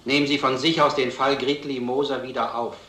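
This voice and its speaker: A man's voice: medium pitch, level tone, pushed and bright, lightly acted. Man playing an earnest detective, roomy sound. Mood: earnest, insistent. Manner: measured, formal, insistent. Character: earnest, stubborn, sober male police detective. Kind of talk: vintage German crime film acting. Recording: roomy old film soundtrack.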